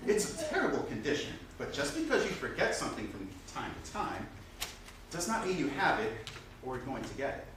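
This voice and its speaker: A man reading the stage role of a genial, reassuring doctor friend, expressive and explanatory. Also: fairly high pitch, fairly quick. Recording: echoey room, distant mic, noisy.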